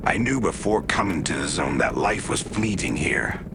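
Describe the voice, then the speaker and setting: A man's voice: sits low, sing-song, moderate pace, creaky, noisy background, full character voice. A man playing a weary, world-worn mercenary, narrating a grim survival log in scripted first-person noir style. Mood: brooding. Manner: gritty, brooding, world-weary, swears. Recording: voice over loud game music.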